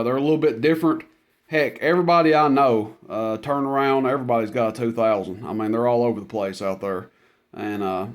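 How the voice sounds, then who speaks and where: Plainspoken man giving a casual product demo. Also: mid-range voice. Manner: plainspoken, folksy, unscripted. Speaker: product demonstrator, radio seller.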